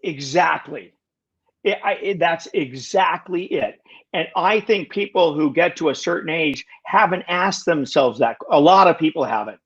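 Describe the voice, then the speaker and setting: A man of about sixty, a guest in a casual conversation, talking in halting bursts with lots of restarts and wry self-deprecating jokes. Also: a little high, expressive, slightly rough, muffled recording. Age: 60s. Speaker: podcast guest.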